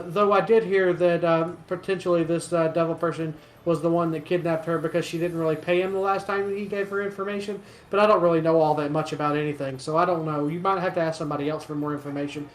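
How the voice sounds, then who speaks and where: A man's voice: on the high side, echoey room, close to an everyday voice. A man voicing a rambling, gossipy fantasy townsperson who hesitates, repeats himself and contradicts himself in a tabletop game.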